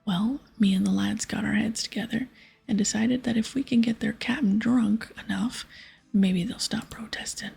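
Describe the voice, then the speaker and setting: Woman putting on a gravelly, breathy pirate captain. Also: fairly high pitch, steady tone, moderate pace, exaggerated voice, rough recording. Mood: conspiratorial. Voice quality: breathy, half-whispered, gravelly. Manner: archaic pirate talk with arrs and ayes. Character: gruff, dramatic old-salt male pirate brewery captain. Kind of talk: ASMR video game dialogue read-aloud. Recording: soft music behind.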